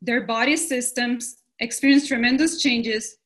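A woman from Rico speaks with a slightly high voice. She presents as a pediatrician in an earnest, measured manner, reading her script over a video call from a room at home. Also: fairly even intonation, a bit bright. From Puerto Rico. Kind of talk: prepared presentation.

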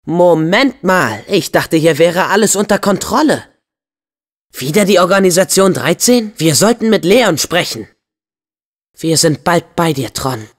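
Casting: loyal, impulsive teen boy game hero; emphatic, youthful, widely swinging intonation, unhurried pacing. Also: high voice. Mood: calm, curious. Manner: youthful, emphatic, expressive delivery. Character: earnest, hot-headed teenage boy hero with a loyal heart. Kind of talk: dubbed video game acting.

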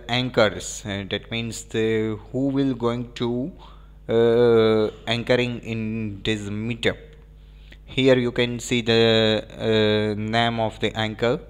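Hesitant low-voiced man with Indian English accent. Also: clean tone. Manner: hesitant, frequent filler pauses. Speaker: software demo presenter. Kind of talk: screen-recorded software walkthrough.

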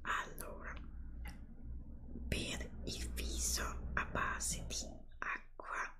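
A woman speaks in a hushed, breathy whisper, very close to the mic. She takes her time, leaving pauses between short phrases and repeating words as she shows products in an ASMR style. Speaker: ASMR video creator. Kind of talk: ASMR product show-and-tell. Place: indoors, close-up before a green screen. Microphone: close ASMR microphone.

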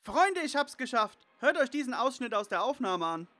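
High bright voice, eager nerdy boy sleuth acted by a man, gadget lover, radio play parody. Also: sing-song, normal speed, full character voice. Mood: eager, confident. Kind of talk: audio drama parody acting.

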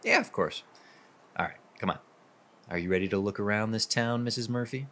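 A man's voice: on the low side, fairly quick, sharp tone. A man playing an earnest, jittery newlywed husband in a radio drama. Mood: easygoing.

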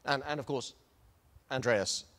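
A man's voice: mid-range voice, a little slow, fairly bright tone. A man originally from the Netherlands explaining his reasoning as a panelist in a large lecture hall. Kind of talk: panel discussion. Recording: reverberant hall.

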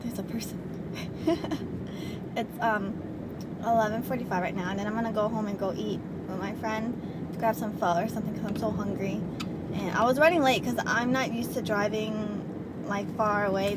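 A young woman chatting casually to her camera while driving, rambling with fillers and restarts, recorded inside a small car. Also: mid-range voice. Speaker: vlogger. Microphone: handheld camera. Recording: loud car noise, shaky camera mic. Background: car and road noise.

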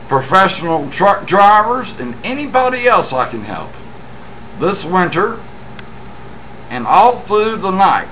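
American man, middle-aged, lively swooping pitch, forceful bright voice, boastful, casual webcam monologue. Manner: emphatic, boastful, deliberate phrasing. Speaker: CB radio hobbyist vlogger. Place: small indoor room at home. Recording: noisy home webcam recording.

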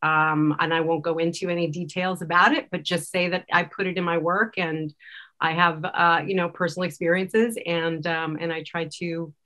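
Bright-voiced woman, fairly low, speaking personally. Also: level tone, normal speed. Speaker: director, interview guest. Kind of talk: personal, reflective interview talk. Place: indoor room. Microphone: video call.

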